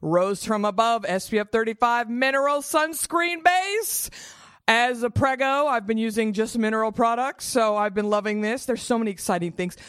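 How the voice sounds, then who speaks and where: A woman's voice: fairly high pitch, a bit bright, clear, resonant tone, no echo, studio quality. A woman in her thirties hosting a comedic beauty podcast in a bubbly, theatrical, playful way over a video call. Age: 30s. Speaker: podcast host.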